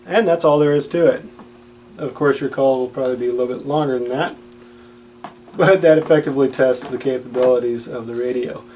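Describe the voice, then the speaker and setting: A man's voice: medium pitch, brisk, a little mellow, a little hiss or hum, poor audio. A man giving a casual, plain-spoken and explanatory product demonstration.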